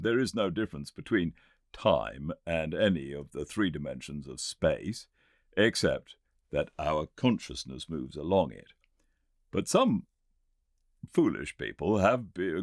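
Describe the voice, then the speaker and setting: A man voicing an earnest, learned Victorian gentleman inventor in an audiobook, with a British accent and an emphatic, formal, didactic delivery. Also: deep voice, no echo, studio quality, exaggerated voice. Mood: keen, explaining. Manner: emphatic, didactic, formal, deliberately pointed phrasing. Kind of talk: audiobook character reading.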